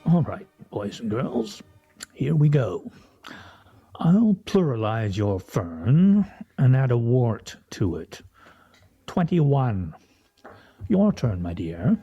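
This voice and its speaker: An actor playing a pompous, sardonic husband in a radio play, speaking in a wry, theatrical, mock-formal way. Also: male voice, medium pitch, big pitch swings, takes it slowly, pauses often, warm tone, breathy edge, lo-fi sound, faint music behind, heavily acted. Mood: dry teasing. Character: pompous, sardonic husband at a weekly scrabble game.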